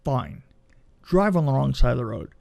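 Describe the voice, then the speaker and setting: A man co-hosting a podcast, chatting casually and telling anecdotes in an animated way, often repeating himself for emphasis. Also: medium pitch, normal speed, vocal fry. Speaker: podcast co-host and film production designer. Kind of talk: casual movie review chat and anecdotes.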